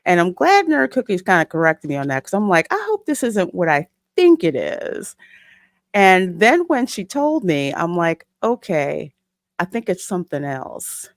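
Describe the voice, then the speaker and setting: A woman's voice: lowish voice, sing-song, moderate pace, no echo, studio quality. A woman hosting a podcast, talking casually and explaining her points in a conversational way. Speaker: podcast host. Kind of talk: casual discussion.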